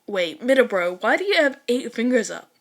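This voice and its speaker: A woman reads as a friendly teenage boy in an audiobook story. He talks casually in a fairly high voice, like a puzzled buddy asking his pal something. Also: very animated, normal speed. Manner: casual, slangy, questioning.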